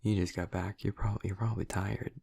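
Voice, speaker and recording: Husky, low-voiced gentle vampire boyfriend, played by a man. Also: level tone, dry recording, clean studio sound, heavily acted. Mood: shy, affectionate. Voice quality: husky, breathy, creaky. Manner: soft, halting, breathy, tentative. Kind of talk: intimate audio roleplay, speaking softly to the listener. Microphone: close, dry microphone.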